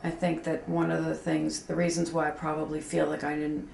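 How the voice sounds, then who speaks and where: A woman in her mid-fifties speaks in a low, gravelly voice, candid and self-deprecating, pausing often with fillers and restarting her sentences. The recording comes from an echoey room with a faint hum underneath. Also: completely flat delivery, a little mellow. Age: 50s. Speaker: graphic designer, interviewee. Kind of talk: candid reflective interview talk.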